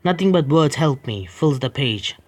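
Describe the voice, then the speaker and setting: A man streaming a horror visual novel, reading its text aloud haltingly, stumbling over words and breaking off to complain at the game. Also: medium pitch, expressive. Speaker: gaming streamer.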